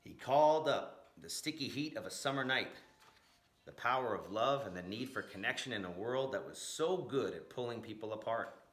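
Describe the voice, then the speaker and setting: A man reading a story aloud in an expressive, dramatic narrating style with emphatic, rhythmic phrasing. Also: medium pitch, moderate pace, faint music behind. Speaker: storyteller reading a picture book aloud, blues educator. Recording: roomy, echoing room sound.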